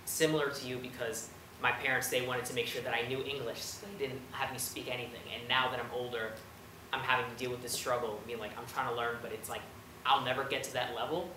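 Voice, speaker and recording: A young man from the United States speaks up from an audience at a medium pitch. His delivery is casual and rambling, full of restarts. The sound is roomy and distant, with noticeable noise. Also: level tone, fast. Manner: hesitant, rambling, filler-heavy. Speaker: audience member asking a question. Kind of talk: casual personal comment and question. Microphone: distant camera mic. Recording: roomy, distant mic, noisy background.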